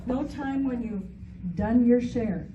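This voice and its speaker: A woman's voice: on the high side, slow pace, muffled recording, background noise. A woman delivering scripted lines as a reader in a church theater piece. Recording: echoey hall, distant mic, music behind.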